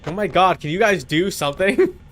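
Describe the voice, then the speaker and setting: A man's voice: high voice, expressive, brisk, fairly bright tone. A man streaming a game with casual, playful live commentary, chatting to viewers and to the game's characters.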